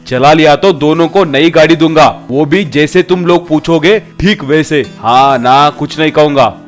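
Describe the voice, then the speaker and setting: A bossy middle-aged father, acted by a man, lays down rules in a firm, fairly high voice in a comic story. Music plays faintly behind. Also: close to an everyday voice.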